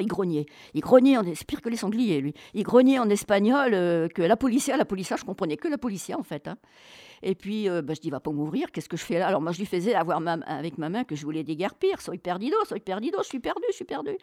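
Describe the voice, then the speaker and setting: Female interview guest, slightly low voice, dry close sound. Colloquial, expressive storyteller who acts out dialogue. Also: dry recording. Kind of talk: animated travel storytelling.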